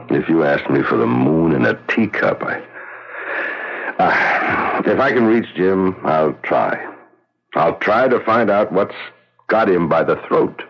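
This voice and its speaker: An actor playing a loyal, wry middle-aged man still in love, with a gravelly, husky voice, in a radio drama. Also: low-pitched, big pitch swings, takes it slowly, long pauses, full character voice. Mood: wry, devoted. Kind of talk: 1970s radio drama acting. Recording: dull lo-fi vintage radio recording.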